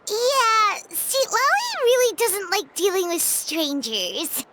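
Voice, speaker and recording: A woman voicing a small, excitable, frank fairy companion with a childlike, squeaky voice in a video game. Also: slow, noisy background, exaggerated voice. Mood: doubtful.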